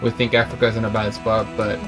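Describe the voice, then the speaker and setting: Relaxed, reflective man with music behind. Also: fairly low pitch, fast. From Russia. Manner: relaxed, reflective, talks about faith. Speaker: co-host. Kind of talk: casual video chat.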